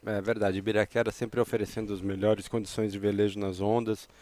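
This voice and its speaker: A man with a Brazilian Portuguese accent doing excited play-by-play commentary that rises with the race action. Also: fairly low pitch, fast talker. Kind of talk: live sports commentary.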